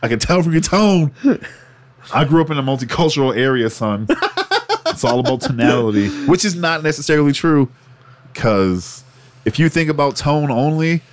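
A man co-hosting a casual esports podcast, cursing freely and laughing through animated banter. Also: fairly high pitch, normal speed, slight background noise. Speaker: podcast co-host.

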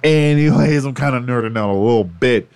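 A man co-hosting a casual esports podcast, cursing freely and laughing through animated banter. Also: medium pitch, a little fast, vocal fry, clear, resonant tone. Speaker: podcast co-host.

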